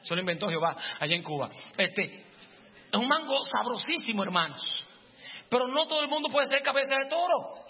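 A male pastor giving a fervent sermon in a church, at times rising to shouts. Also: very high voice, sing-song, a little fast, breathy edge. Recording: long church reverb, dull lo-fi, faint music.

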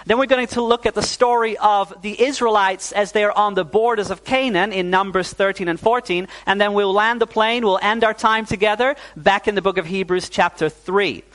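A young male preacher from New Zealand giving a sermon to his congregation. His voice sits a little higher than most men's. He talks warmly, drops in "uh" often and asks the room for an "amen". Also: poor audio. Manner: warm, fillers like "uh", calls for "amen". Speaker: pastor.

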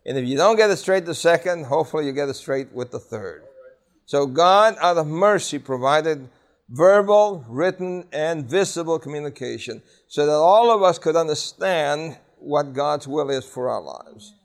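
A man's voice: fairly high pitch, lively intonation, moderate pace. A man teaching a Bible class, conversational and emphatic, often asking the students questions. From New York City.

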